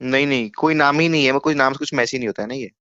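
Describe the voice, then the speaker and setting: A man's voice: mid-range voice, fast talker, fairly bright tone, clear, resonant tone. An Indian man on a phone call, informally recounting his own experience, insistent and often repeating his words. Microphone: phone line. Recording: muffled phone call with faint noise.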